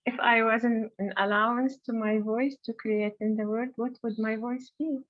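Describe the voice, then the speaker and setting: A woman's voice: fairly high pitch, steady tone. Hesitant woman on a tinny call line. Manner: hesitant, many "uh" pauses, nervous laughs. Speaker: call participant. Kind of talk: asking a question on a group call. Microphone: video call. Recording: tinny phone-like call audio.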